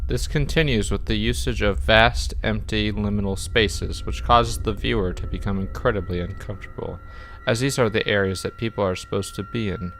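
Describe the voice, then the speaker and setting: Man, lowish voice, scripted review narration, emphatic wordy delivery, clear background music. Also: fairly even intonation, normal speed, a bit bright. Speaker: video reviewer and channel host.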